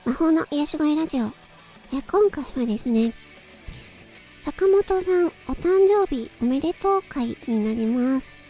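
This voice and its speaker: A woman's voice: high-pitched, animated, measured pace, mellow, soft background music. A woman hosting her own chatty audio show, speaking in an effusive, polite and emphatic way. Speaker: host of a personal audio show. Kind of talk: chatty solo audio broadcast, birthday tribute.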